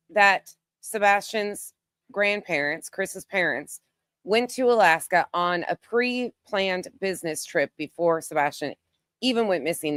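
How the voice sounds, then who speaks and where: Give a low-pitched woman's voice recorded close and dry. She speaks as an online creator doing off-the-cuff live commentary, repeating phrases for emphasis and narrating her own steps as she goes. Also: no room sound. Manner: narrates own actions while browsing. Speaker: YouTube creator, host. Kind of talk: casual live commentary, screen share.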